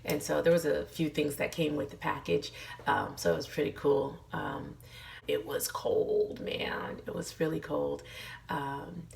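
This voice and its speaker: A middle-aged woman vlogging in a casual, encouraging way while losing her voice, hoarse and full of fillers. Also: low-pitched, level tone, measured pace, a little mellow, recorded from a distance, slight background noise. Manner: chatty, many fillers, earnest encouragement. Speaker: lifestyle vlogger. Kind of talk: casual motivational talk to camera.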